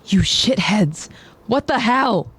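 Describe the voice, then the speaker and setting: A frightened young female soldier, caught in a terrible choice, played by a male narrator. She speaks slowly, in a breathy, strained voice. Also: very high voice, animated, voice pushed up, exaggerated voice. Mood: fearful.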